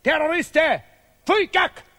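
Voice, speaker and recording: An actor playing an excitable, pompous, sentimental scholar in an absurdist radio play, declaiming chopped phrases in broken grammar. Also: male voice, very high voice, slow, gravelly, exaggerated voice. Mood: zealous, frenzied. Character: second pompous male laureate scholar, zealous, sentimental, excitable.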